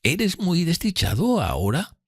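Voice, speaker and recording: A man voicing a frail, remorseful elderly gentlewoman in an audiobook, speaking slowly in a hesitant, timid murmur. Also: high-pitched, sing-song, creaky, dry recording, studio quality, pitched above the performer's own voice, full character voice. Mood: subdued, solemn. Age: older. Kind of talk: audiobook character reading.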